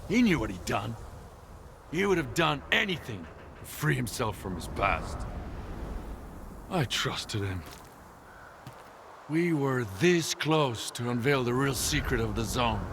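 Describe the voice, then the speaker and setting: Bitter hardened stalker, voice swings up and down a lot, noisy ambience behind. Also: male voice, medium pitch, very animated, takes it slowly, gravelly, pauses often, heavily acted. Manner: bitter, intense, defiant. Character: hardened middle-aged male stalker, obsessive protector. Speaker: game character.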